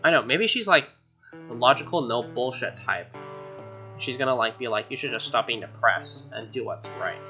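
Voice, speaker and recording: An American man reads visual novel narration aloud for a gaming video. His voice is crisp-edged and bright, at a middling pitch. He drops in casual reactions, and music plays behind him. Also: expressive, moderate pace, lo-fi sound.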